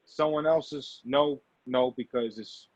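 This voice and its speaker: A man's voice: medium pitch, takes it slowly, no room sound. Male interview guest, conversational and rambling, repeats himself, dry but dull lo-fi recording. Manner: rambling, repeats and restarts phrases. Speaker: guest actor and filmmaker. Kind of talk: casual interview talk. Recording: dull lo-fi audio.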